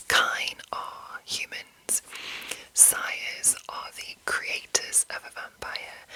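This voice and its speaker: A woman whispering softly and unhurriedly in an ASMR style, explaining things in a loose, rambling way with drawn-out pauses. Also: poor audio. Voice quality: breathy unvoiced whisper. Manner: slow, hushed, drawn-out pauses. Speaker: ASMR host introducing a roleplaying game.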